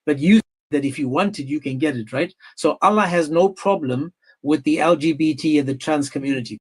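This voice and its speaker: A man's voice: on the high side, a little fast, slightly rough. A man hosting a livestream debate, goading his guest with pointed questions. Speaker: debate stream host.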